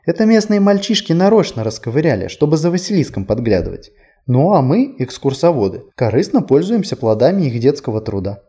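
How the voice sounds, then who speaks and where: A man's voice: a little high, big pitch swings, fairly quick, mellow. A man voicing a whimsical, chatty male tour guide in an audiobook, conversational, playful and self-deprecating. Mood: amused, chatty. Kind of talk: audiobook character dialogue.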